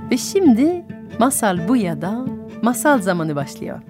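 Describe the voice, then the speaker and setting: A French woman with a French accent, hosting a radio show and telling a folk tale in an expressive, musical way. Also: mid-range voice, measured pace, big-room sound, over music. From France. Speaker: radio host and storyteller. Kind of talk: storytelling for adults on radio.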